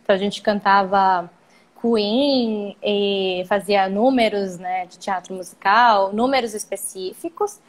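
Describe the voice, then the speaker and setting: A woman's voice: mid-range voice, a little slow. A young Brazilian woman with a Brazilian Portuguese accent, chatting on a video call as an interview guest, meandering and restarting her sentences. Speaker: guest, actress and singer. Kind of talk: casual live-stream interview.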